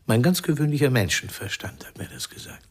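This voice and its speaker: A man's voice: mid-range voice, animated, fairly quick, breathy edge, faint music behind. An actor playing a cool, knowing, menacing man in a radio crime drama, probing and insinuating.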